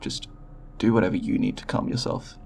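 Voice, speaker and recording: A man playing a nervous, formal alien researcher in an ASMR audio roleplay, with a creaky voice and precise, clinical, hesitant wording. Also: brisk, a little mellow, slight background noise, close to an everyday voice. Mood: anxious, placating. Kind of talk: ASMR roleplay, recorded report then reassurance.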